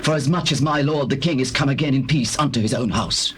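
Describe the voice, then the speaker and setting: An actor playing a humble lame prince, submissive and earnest, in dramatized scripture. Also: male voice, mid-range voice, a little fast, a bit bright, close to an everyday voice. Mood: humble pleading. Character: humble lame prince pleading before his king. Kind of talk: dramatized scripture, classical stage acting. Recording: noisy old cassette tape.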